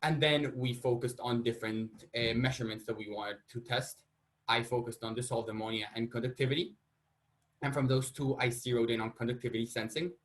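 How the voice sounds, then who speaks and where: A man's voice: medium pitch, steady tone, brisk. A young man from Colombia, a student presenting his research in a casual, self-deprecating way. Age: young adult. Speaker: student panelist.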